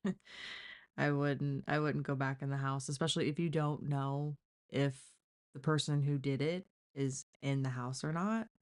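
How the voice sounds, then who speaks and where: A woman hosting a live stream, giving casual commentary in a hesitant, halting way as she thinks aloud. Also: very deep voice, steady tone, a little mellow, clear, resonant tone.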